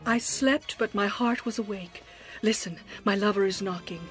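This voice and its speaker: A woman playing a passionate, lovesick young bride in a dramatized scripture reading, with poetic, expressive delivery. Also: medium pitch, moderate pace, natural voice. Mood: breathless longing. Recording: music behind the voice.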